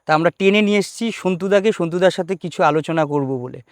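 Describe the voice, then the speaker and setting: A male host runs an informal interview. His voice sits high for a man and is recorded dry. He talks casually and without a script, mixing in English words. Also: quick pace, dry recording.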